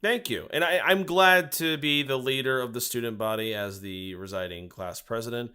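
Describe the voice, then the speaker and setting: A man's voice: medium pitch, normal speed, pushed and bright, clear, resonant tone. A man co-hosting a comedy podcast as the student, goofy and wisecracking. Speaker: co-host as the class student.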